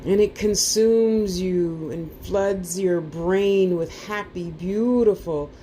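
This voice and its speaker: A woman's voice: fairly low pitch, takes it slowly, mellow, a little hiss or hum, rough recording. A woman leading a guided meditation class with soothing, informal instructions, playful asides and lulling repeated phrases.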